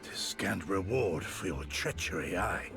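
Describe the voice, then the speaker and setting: A man's voice: low voice, animated, a little slow, gravelly, over music, full character voice. A man voicing a proud, noble samurai warrior in a video game cutscene, with formal, archaic diction. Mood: bitter, grave.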